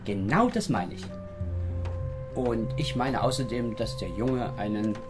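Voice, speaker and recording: An actor playing a stuffy, proper pharmacist in a children's radio play, with a prim, pedantic manner. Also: male voice, mid-range voice, unhurried, natural voice. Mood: indignant, lecturing. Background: music.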